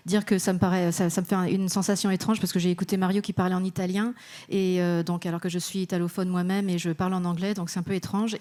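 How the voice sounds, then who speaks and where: A woman interpreting a guest's answer at a panel, steady with a few hesitations. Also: mid-range voice. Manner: steady, slightly halting rendering. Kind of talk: consecutive interpreting.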